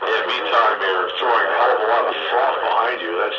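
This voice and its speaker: A man's voice: lowish voice, fairly even intonation, brisk. Folksy man through very heavy radio noise. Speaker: radio round table participant. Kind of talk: casual joking radio chat. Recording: very noisy, muffled, echoey radio transmission.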